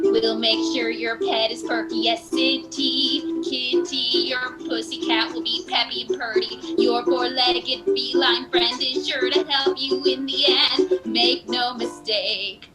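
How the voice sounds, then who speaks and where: A woman's voice: high-pitched, fairly even intonation, takes it slowly, hardly any pauses, low-quality recording. A woman playing a chirpy, playful announcer in a parody radio commercial, singsong and rhyming. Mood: perky. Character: chirpy singsong jingle announcer for a pet clinic. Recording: loud music under the voice.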